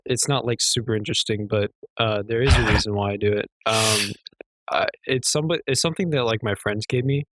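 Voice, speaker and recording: A young man co-hosting a casual podcast, with a quiet, slightly hoarse voice, rambling and joking with plenty of swearing. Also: on the low side, fairly quick, no room sound. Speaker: podcast co-host. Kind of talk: casual chat.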